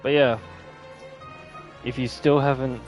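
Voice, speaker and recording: A man hosts a gaming video with casual, unscripted commentary. He speaks slowly at an average male pitch, rambling in an offhand way. Soft game music plays faintly behind his voice. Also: fairly even intonation, dull audio.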